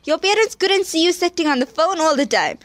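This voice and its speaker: A woman's voice: extremely high pitch, animated, a little fast, dry recording. A woman playing a teenage girl who angrily turns on the friend who got her into trouble. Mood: indignant. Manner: indignant, hurt. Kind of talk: audio drama acting.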